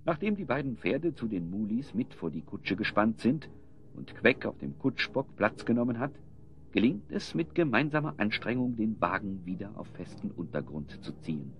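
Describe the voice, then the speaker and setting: A man's voice: fairly low pitch, expressive, normal speed. A man narrating an audio drama as a scripted storyteller. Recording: slightly dull sound, music underneath.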